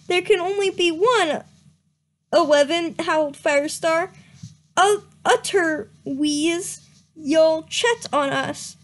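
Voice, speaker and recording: Young woman reading fanfic out loud for laughs, high voice, slow and stumbly, keeps cracking up. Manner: breaks into laughter, stumbles over misspelled words, reads slowly. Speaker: reader. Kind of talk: comedic dramatic reading of fan fiction.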